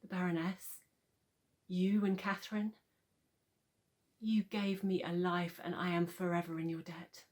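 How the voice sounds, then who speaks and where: A woman's voice: on the low side, little pitch movement, unhurried, long pauses, darkish tone, natural voice. A woman playing a devoted young nursemaid with a troubled past in a radio play, earnest and emotional, faltering as she speaks. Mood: grateful, devoted.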